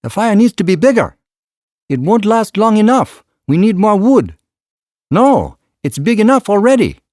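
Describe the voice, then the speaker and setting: Guy doing a squabbling village boy for an audiobook, high, insistent, excited. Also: moderate pace, no echo, clean studio sound. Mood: urgent, bossy. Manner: urgent, insistent. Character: excited, bossy young village boys squabbling.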